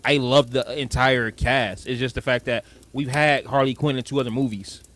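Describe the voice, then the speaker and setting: A man's voice: mid-range voice, steady tone, moderate pace, fairly bright tone. A young man co-hosting a podcast, chatting casually and animatedly about a movie, laughing often and swearing. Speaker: podcast co-host.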